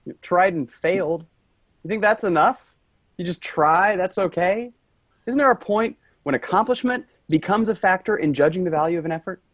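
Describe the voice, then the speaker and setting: A man's voice: sits high, normal speed, a bit bright, no echo. A man playing an earnest, rambling office worker, tipsy and brooding, in a staged play reading. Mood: tipsy, musing.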